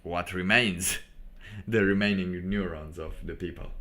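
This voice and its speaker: A man hosting a casual livestream monologue in a halting, rambling manner with preacher-like asides. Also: low-pitched, fairly even intonation, bright, forceful tone. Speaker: livestream host.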